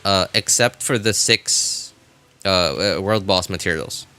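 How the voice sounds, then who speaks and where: A man with a fairly low voice that is bright and very clean. He talks off the cuff like a live game streamer chatting with viewers, circling back and repeating words in a halting ramble.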